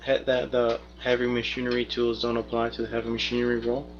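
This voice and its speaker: A man's voice: mid-range voice, completely flat delivery, quick pace. A man speaking as a tabletop game player, casually asking about the game. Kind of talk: casual group game chat. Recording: dull lo-fi mic, faint noise.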